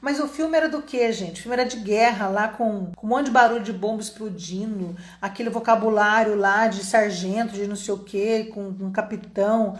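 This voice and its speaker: A woman's voice: mid-range voice, normal speed, hardly any pauses, roomy sound. A Brazilian woman teaching English to adult learners in a casual, chatty video lesson, often turning to her viewers directly. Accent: Brazilian Portuguese. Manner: chatty, animated, addresses viewers as "gente".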